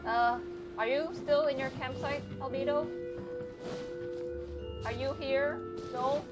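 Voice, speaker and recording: A woman's voice: high voice, steady tone, takes it slowly. A woman chatting casually as she streams a game, reacting aloud in informal, slangy language. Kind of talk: casual live gameplay commentary. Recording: dull lo-fi sound, game music behind. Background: game music.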